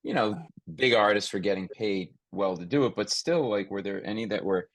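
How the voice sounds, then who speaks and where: A man hosting a casual podcast interview, talking in an animated, rambling way and often restarting his sentences. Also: on the low side, brisk, no room sound, rough recording. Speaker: podcast host, comedy writer.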